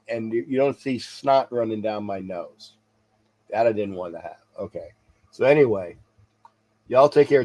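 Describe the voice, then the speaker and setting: Congested man rambling on a livestream. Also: mid-range voice. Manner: rambling, repeats himself, restarts sentences; chuckles to himself. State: congested, stuffy nose. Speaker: sports talk show host. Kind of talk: casual livestream chat with viewers.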